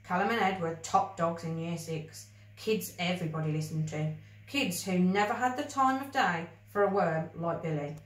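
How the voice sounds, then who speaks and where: A woman reading a children's story aloud in an expressive, scripted storybook style. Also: fairly low pitch, normal speed. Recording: echoey room, distant mic.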